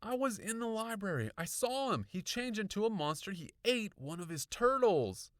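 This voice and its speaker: A man voicing a frightened, insistent young girl in a children's horror audiobook, shrill, panicky and breathless. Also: big pitch swings, moderate pace, no echo, clean studio sound. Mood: panicked, pleading.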